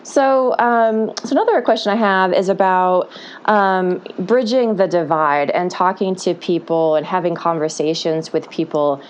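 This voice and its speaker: A woman's voice: on the low side, a little slow, clear, resonant tone, highs cut off. A woman hosting a podcast interview, asking questions in a friendly, hesitant way with many ums and affirming sounds. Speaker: podcast host.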